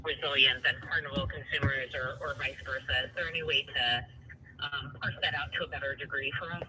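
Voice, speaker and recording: A man's voice: medium pitch, little pitch movement, a little fast, background noise. A man speaking as a financial analyst, asking questions on an earnings call.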